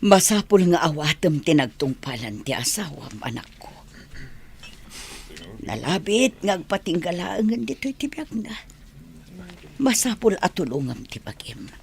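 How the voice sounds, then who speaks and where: A woman with an Ilocano accent playing a gentle, solemn mother comforting a grown son in a Filipino radio drama. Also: deep voice, vocal fry, a little hiss or hum, full character voice. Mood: consoling. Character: consoling, protective parent of a grown widowed son.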